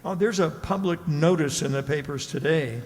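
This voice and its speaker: A man plays an easygoing customs official in a radio drama. His slightly high voice is chatty and matter-of-fact, ringing in a large hall. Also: moderate pace, lightly acted. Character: easygoing, chatty male government customs agent. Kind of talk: old-time radio drama acting.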